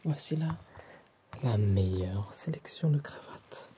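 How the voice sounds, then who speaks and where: A man with a French accent playing a courteous, attentive tailor in a whispered ASMR roleplay, speaking softly, politely and formally to a client. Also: fairly low pitch, lively intonation, noisy background. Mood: calm, attentive. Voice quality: soft, hushed, often whispered. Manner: polite, formal, unhurried salesman-like.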